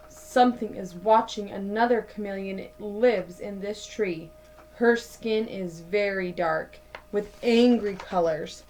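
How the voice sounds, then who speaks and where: A woman in her thirties reading a picture book aloud to children like a teacher, with deliberate, dramatic emphasis. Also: mid-range voice, a little mellow, slight background noise, low-quality recording. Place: small indoor room at home.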